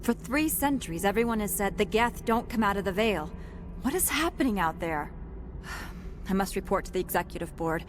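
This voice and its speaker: A woman's voice: mid-range voice, animated, moderate pace, background noise, natural voice. A woman playing a stern, skeptical corporate security captain in a video game, clipped and demanding. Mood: skeptical, worried.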